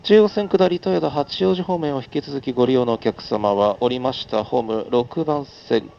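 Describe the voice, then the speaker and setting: A man's voice: medium pitch, moderate pace, gravelly, mellow, dull audio, slight background noise. A man making a live train conductor's announcement in a formal, practised, phrase-by-phrase delivery.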